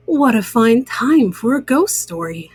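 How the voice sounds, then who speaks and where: A woman's voice: on the high side, big pitch swings, unhurried, breathy edge, no echo, studio quality, full character voice. A woman voicing a playful, teasing male frog who tells spooky tales in a children's storybook. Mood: eager.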